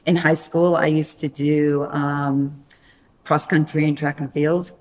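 A middle-aged woman with a low, deep voice tells her story in a relaxed interview. She talks animatedly, restarting her sentences and laughing often, like a guest on a chat podcast. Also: slow pace. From Maine, USA. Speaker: podcast guest, wine critic.

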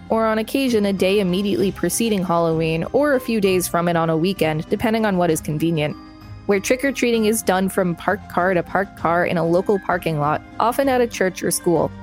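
A woman podcast co-host reading an article aloud, with casual asides. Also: on the low side.

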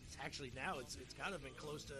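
A man's voice: fairly high pitch, rapid-fire, over music. A middle-aged man hosting a podcast in casual chat, rambling and often repeating his words. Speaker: podcast host.

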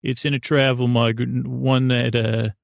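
A man hosting a bedtime podcast in a halting, meandering, comedic monologue that keeps circling back and drifting into digressions. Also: mid-range voice, flat delivery, vocal fry, dry recording, clean studio sound. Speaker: podcast host.

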